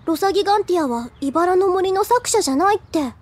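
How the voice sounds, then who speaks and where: A woman's voice: very high-pitched, animated, a little fast. A woman playing an earnest, deferential younger schoolgirl in an audio drama, polite, sweet and a little timid. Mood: earnest, determined. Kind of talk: Japanese audio drama acting.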